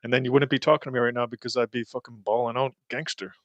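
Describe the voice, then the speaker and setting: A man's voice: mid-range voice, flat delivery, fast, no echo, dull audio. A man hosting a casual home podcast, rambling, swearing often and trailing off mid-sentence. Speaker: podcast host. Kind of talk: casual chat. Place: indoors at his home.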